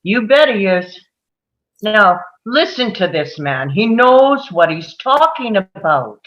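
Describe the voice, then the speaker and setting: A woman's voice: mid-range voice, big pitch swings, slow pace, dull audio. A woman playing an impatient, domineering male juror in a script table read, with a brusque, sneering manner. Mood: smug.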